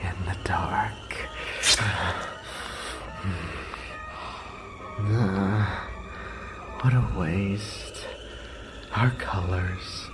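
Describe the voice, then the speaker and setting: A man playing a deranged, possessive male lover in a horror audio roleplay, speaking in breathy, halting, intimate fragments. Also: noisy background, full character voice. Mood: unhinged, brooding. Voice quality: breathy, whispery, creaky low voice. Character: obsessive, deranged yandere male lover, tender and murderous.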